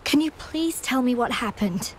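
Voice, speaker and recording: A young adult actress voicing a gentle, anxious young woman in a game cutscene, speaking hesitantly with small stammers. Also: fairly high pitch, animated, normal speed, slight background noise, lightly acted. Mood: worried. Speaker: game character.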